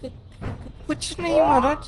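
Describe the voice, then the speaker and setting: A woman's voice: high-pitched, steady tone, unhurried, background noise. A woman voicing a tiny, cheeky, boastful male mouse in a children's cartoon, playful and theatrical. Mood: frightened, pleading. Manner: stammers when frightened, playful and theatrical. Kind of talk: children's animated story voice acting.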